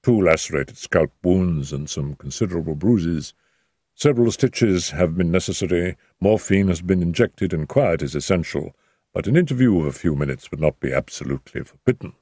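A man voicing a brisk surgeon giving a clinical report on a patient in an audiobook. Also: sits low, expressive, mellow, husky, dry recording, studio quality, exaggerated voice.

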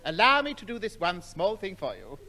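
An actor playing a fussy, officious, easily riled gentleman with a prim manner in 1960s radio sitcom style. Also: high voice, wildly swooping pitch, fairly quick, exaggerated voice. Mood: obliging. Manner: polite, prim, indignant.

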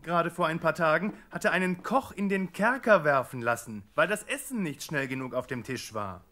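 A man's voice: fairly high pitch, lively intonation, normal speed, pushed and bright. An actor playing a brusque, impatient king's servant giving orders in a biblical radio play. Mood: ominous. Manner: commanding, impatient. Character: brusque, imperious male servant of a tyrant king. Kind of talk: German radio play acting.

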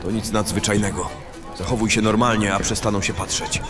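A man's voice: lowish voice, fairly even intonation, brisk, music in the background, close to an everyday voice. A man playing a brash, greedy fantasy adventurer in an audio drama, talking in a coarse, boastful, grumbling way. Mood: casual.

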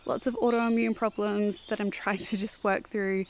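A woman chatting casually as she walks through her garden, with fillers and some soft asides. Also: medium pitch, little pitch movement, moderate pace, a little hiss or hum. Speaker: vlogger giving a garden tour. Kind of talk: casual walking garden tour. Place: outdoors in a home garden.

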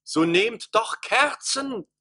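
An actress playing an observant, foreboding onlooker in a radio play, speaking deliberately and exclaiming. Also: female voice, a little high, animated, bright, forceful tone, breathy edge, dry recording, studio quality, full character voice. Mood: urgent.

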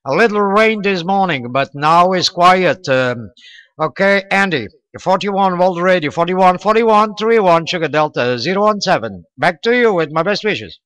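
A man with a Portuguese accent speaks in a very clear, slightly high voice. His English is halting and courteous, in the style of an amateur radio contact. The sound is close and dry. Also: expressive, a bit bright, no echo. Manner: halting, non-native English with courteous sign-offs. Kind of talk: casual radio contact chat.

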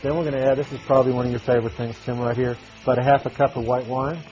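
A man's voice: medium pitch, little pitch movement, very fast, a little mellow, lo-fi sound, soft background music. A middle-aged man chef giving a live cooking demo in a television studio kitchen, talking casually with lots of fillers while he works, on a lapel mic. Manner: folksy, talks while cooking with frequent fillers. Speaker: restaurant chef.

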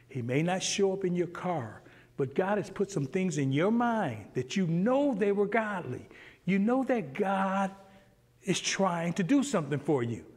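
Animated middle-aged man preaching in a church. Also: fairly high pitch, breathy edge, in a large hall. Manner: animated, acts out dialogue with God, swings loud and quiet. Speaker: Sunday school teacher. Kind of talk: preaching Sunday school lesson. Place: church sanctuary, standing before a pulpit.

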